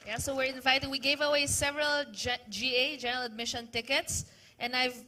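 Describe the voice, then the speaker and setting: Bright-voiced woman moderating a panel. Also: high voice, fairly even intonation, brisk, a little hiss or hum. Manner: conversational, hesitant uhs and restarts.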